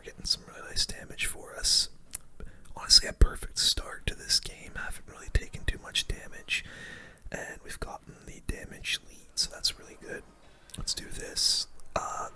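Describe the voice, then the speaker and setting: A person whispering informal, slangy ASMR commentary over gameplay as the host of a gaming video. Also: pushed and bright.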